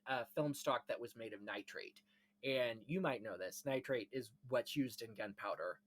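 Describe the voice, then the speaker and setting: A man's voice: mid-range voice, a bit bright. A man hosting a casual discussion of film history, explaining things in rambling, enthusiastic detail. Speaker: podcast host.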